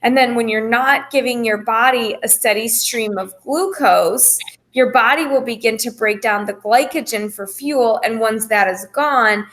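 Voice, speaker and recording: A woman's voice: on the high side, unhurried, a bit bright. A woman speaking as a fitness coach, explaining things informally to a group in a reassuring, careful way. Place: phone call presentation.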